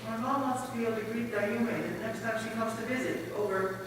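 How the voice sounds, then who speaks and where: A woman's voice: on the high side, fairly even intonation, fairly quick, far from the mic, natural voice. A female performer playing a polite, coaxing missionary child in a readers theater piece. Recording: echoey hall from afar, faint noise.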